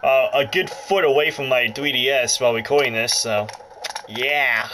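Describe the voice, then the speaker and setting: A man's voice: medium pitch, normal speed, background noise. A man giving casual, rambling let's play commentary, jokey and often interrupting himself. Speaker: gaming video host. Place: indoors, about a foot from the handheld console. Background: game music from the console speaker.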